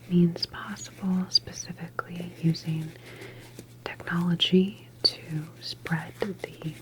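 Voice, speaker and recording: A woman performing an ASMR medical roleplay as a calm government doctor, speaking in a deliberate, reassuring clinical manner. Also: lowish voice, a little hiss or hum, low-quality recording. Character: calm female government doctor examining a patient.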